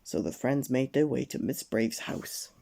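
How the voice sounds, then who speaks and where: A man reading a children's storybook aloud at bedtime, gently addressing the young listener. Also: mid-range voice, expressive, a little slow, gravelly.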